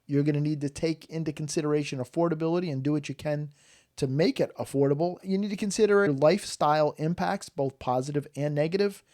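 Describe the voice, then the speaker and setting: A man's voice: fairly high pitch, warm tone, no room sound, clean studio sound. An American man hosting a podcast in a casual, chatty style, speaking straight to listeners in a folksy, emphatic way. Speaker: podcast host.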